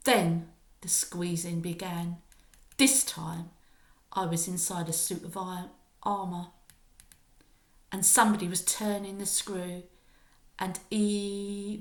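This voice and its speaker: A woman reading a children's story aloud like a primary school teacher, with expressive, emphatic dramatic narration. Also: mid-range voice, reverberant.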